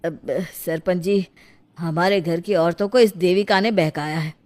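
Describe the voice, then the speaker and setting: A woman's voice: fairly low pitch, fairly even intonation, measured pace, darkish tone, natural voice. Lady as stubborn village family man, accusing and emphatic, cartoon story. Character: stubborn, aggrieved male head of village household.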